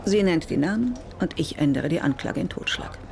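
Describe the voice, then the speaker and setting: An actress playing a tough, insistent prosecutor in a radio crime drama, firm and businesslike. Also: female voice, lowish voice, lively intonation, a little fast, creaky, a little mellow. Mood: hard bargaining.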